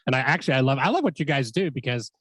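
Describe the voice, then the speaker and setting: A man's voice: mid-range voice, lively intonation, very fast, fairly bright tone, breathy edge, dry recording. A middle-aged man hosting an interview from a radio studio on a studio mic, talking eagerly and stumbling over his words. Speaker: podcast host. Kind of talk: casual interview. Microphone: studio microphone.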